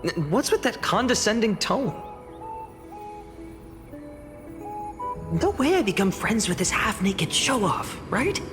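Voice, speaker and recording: A man's voice: high-pitched, very animated, moderate pace. A man playing an earnest, doubtful young village hero in a fantasy video game, with a hesitant, musing delivery. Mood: doubtful, startled. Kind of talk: English video game voice acting. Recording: long hall reverb under music.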